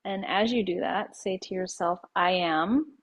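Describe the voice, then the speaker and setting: Soothing woman guiding a meditation. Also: fairly low pitch. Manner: soothing, unhurried with soft phrase endings. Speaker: yoga nidra meditation guide. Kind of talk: guided meditation.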